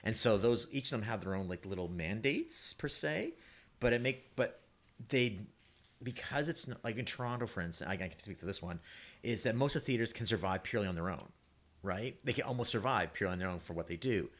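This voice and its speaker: A man chatting casually as a playwright guest on a panel, telling stories in an animated, emphatic way. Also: on the low side, a little fast.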